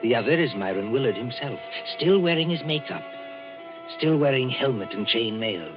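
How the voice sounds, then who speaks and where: A man narrating an old radio drama in a dramatic, measured, ominous way. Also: mid-range voice, expressive. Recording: dull old radio broadcast with music.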